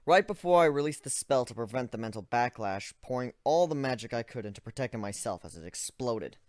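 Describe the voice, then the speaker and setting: A man narrates a first-person fantasy story in a measured reading voice. His pitch moves a lot, sinking low and soft when the tension builds. The recording is dry and close to the mic. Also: expressive, dry recording. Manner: measured, drops low and quiet on tense moments.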